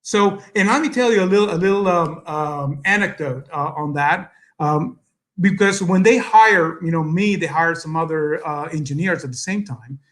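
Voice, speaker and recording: Salvadoran guy, spanish accent, highish voice, earnest event speech with lots of uh and um. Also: fairly bright tone. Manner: earnest, halting, many fillers, switches to Spanish. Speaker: guest speaker.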